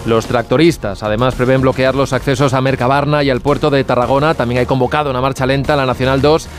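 A man's voice: mid-range voice, fairly even intonation, fast, soft background music. A man with a Castilian Spanish accent reading scripted radio news as a presenter.

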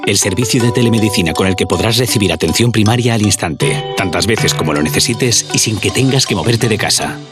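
Low male announcer over loud music. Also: lively intonation, fairly quick. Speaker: advert announcer. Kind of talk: scripted radio advert.

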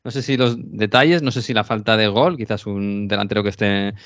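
A man's voice: on the low side, fairly quick, a bit bright, no echo, lo-fi sound. A man with an accent from Spain hosting a sports show, interviewing in a casual, conversational way.

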